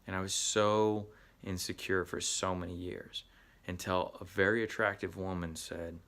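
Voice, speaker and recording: Man, Southern US accent, middle-aged, lowish voice, earnest motivational talk, stumbling restarts. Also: steady tone, moderate pace, fairly bright tone. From US Midwest. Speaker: acting coach.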